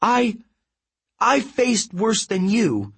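Man putting on an anxious, high-pitched young heroine. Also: sing-song, a little slow, a bit bright, husky, heavily acted. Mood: defiant fear. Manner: urgent, flustered, stammering. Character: anxious, stammering young heroine who clings to plans.

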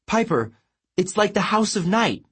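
A man's voice: high-pitched, sing-song, unhurried, a bit bright, slightly rough, pitched above the performer's own voice, full character voice. A man voicing an anxious young heroine in a storybook, urgent and flustered, prone to stammering. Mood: fearful.

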